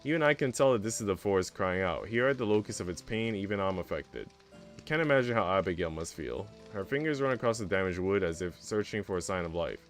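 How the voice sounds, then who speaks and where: A man's voice: sits low, animated, fast, faint music behind. A man reading a visual novel aloud as a streamer, narrating the story in the first person.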